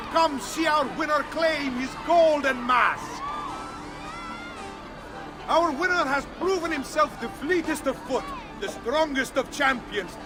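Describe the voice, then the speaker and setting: An actor playing a flamboyant, grandiose carnival announcer with an Italian accent, proclaiming in a drawn-out, theatrical way for a game cutscene. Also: male voice, extremely high pitch. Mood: grand proclamation. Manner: proclaiming, drawn-out showman delivery. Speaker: games announcer. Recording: loud music and crowd behind. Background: music and crowd.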